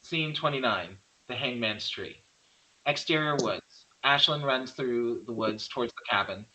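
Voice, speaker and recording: Bright-voiced man narrating a horror script. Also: mid-range voice, measured pace, rough recording. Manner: plain stage-direction reading.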